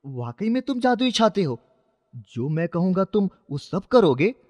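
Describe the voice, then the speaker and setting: A man's voice: sits high, sing-song, measured pace, darkish tone, exaggerated voice. Earnest poor boy voiced by a man, reverberant. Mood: amazed. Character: poor, kind-hearted young shoe-shine boy, anxious and earnest. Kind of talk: dramatized children's moral story.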